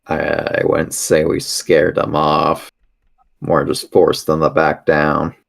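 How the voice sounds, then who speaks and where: A man's voice: extremely low pitch, completely flat delivery, slow, gravelly. A man playing a tabletop fantasy game, speaking casually in and out of character.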